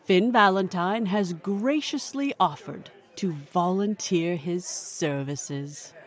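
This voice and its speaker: A woman's voice: on the low side, a faint crowd. A woman playing a regal, composed queen of a supernatural council, speaking formally in an audio drama. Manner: formal, measured, stately.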